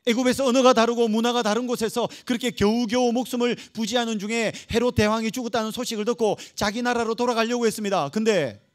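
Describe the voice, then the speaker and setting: A man's voice: very high-pitched, steady tone, quick pace, hardly any pauses. A man preaching a church sermon as a pastor, emphatic and dramatic, putting rhetorical questions to his congregation. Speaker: pastor preaching to young adults.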